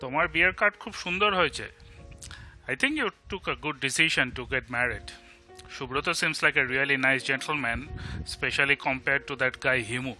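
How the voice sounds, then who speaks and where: A man's voice: medium pitch, rapid-fire, pushed and bright, soft background music, rough recording. A man with an Indian Bengali accent playing a blunt, sardonic, protective best friend in an audio drama. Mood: warm, sincere.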